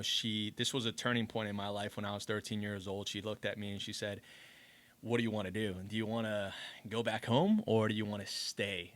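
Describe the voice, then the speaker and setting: A man's voice: fairly low pitch, fairly bright tone. A man from Colombia, a guest on a podcast, telling his story in an earnest, reflective way with many "you know"s. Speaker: podcast guest, business owner. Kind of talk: casual interview storytelling.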